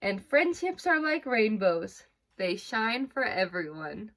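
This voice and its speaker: A woman's voice: mid-range voice, very animated, slow, a bit bright. A woman teacher leading a read-aloud lesson for young pupils, explaining in simple phrases and guiding them with questions. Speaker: elementary school teacher.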